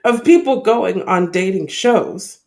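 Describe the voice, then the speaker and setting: A woman's voice: mid-range voice, takes it slowly, a little mellow. A woman co-hosting a podcast, giving her opinions in a casual, explanatory way and laying out her points one after another. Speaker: podcast co-host.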